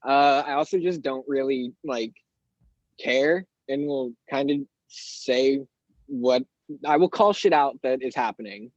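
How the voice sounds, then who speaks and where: An eighteen-year-old man with a higher-pitched voice, a guest on a podcast, talking candidly and rambling with lots of fillers. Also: unhurried, no echo, lo-fi sound. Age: teens. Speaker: guest artist. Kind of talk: casual interview chat.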